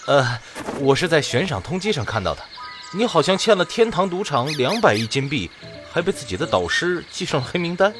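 An earnest young priest in a fantasy game drama. He is gentle and courteous, with a very animated mid-range voice. Light music sits behind him. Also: a little high, a little fast. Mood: polite, puzzled. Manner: polite, hesitant, earnest. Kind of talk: fantasy audio drama acting.

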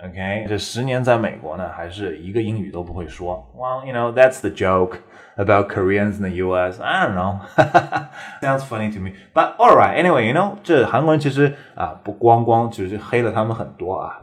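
A young man from China hosts a bilingual stand-up comedy lesson. His voice sits a little low. He talks animatedly and leaps up in pitch whenever he exclaims. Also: fairly quick. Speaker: English-teaching comic host.

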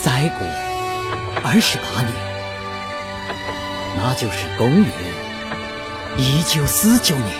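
A man's voice: mid-range voice, sing-song, slow pace, pauses often, over loud music. A man acting in a Chinese historical radio drama as an idealistic, assertive young revolutionary delegate with a fervent, oratorical delivery. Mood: fervent, hopeful.

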